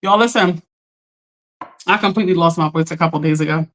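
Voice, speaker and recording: Casual woman hosting a live chat, voice still recovering. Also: fairly quick. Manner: chatty, talks straight to chat, animated pitch jumps. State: recovering from lost voice. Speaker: livestream host.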